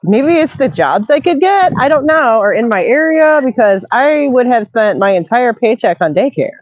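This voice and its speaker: A woman's voice: a little high, very animated, moderate pace, no echo, muffled recording. A middle-aged woman hosting a casual call, chatty and tired from being sick, reading chat messages aloud. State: tired, recovering from illness. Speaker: channel host.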